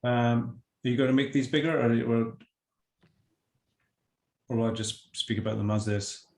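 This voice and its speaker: A Scottish man in his sixties chats as a guest over a video call, his middle-pitched voice ringing in a roomy, echoey space. He talks in an unhurried, self-deprecating way, often restarting his sentences. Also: steady tone, gravelly, darkish tone. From Scotland. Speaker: guest artist. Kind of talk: casual interview chat.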